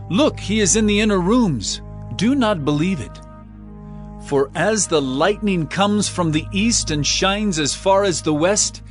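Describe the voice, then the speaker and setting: Solemn holy teacher, earnest, declamatory, fairly high male voice, lively pitch swings, music behind, audio Bible drama. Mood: cautioning.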